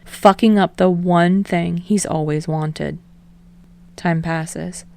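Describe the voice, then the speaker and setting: A woman's voice: lowish voice, steady tone, slow, creaky, darkish tone. A woman reading scripted fiction narration as an audiobook narrator.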